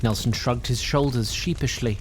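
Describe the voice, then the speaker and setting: A man's voice: mid-range voice, moderate pace, slight background noise, low-quality recording. A male narrator in a mid-range voice, reading a fantasy audio drama. His scripted narration has a wry, storybook touch.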